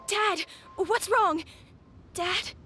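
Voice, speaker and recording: A gentle young girl in a video game, a devoted and anxious daughter. Her voice is high and concerned, with music clearly behind it. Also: extremely slow, full character voice. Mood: distressed.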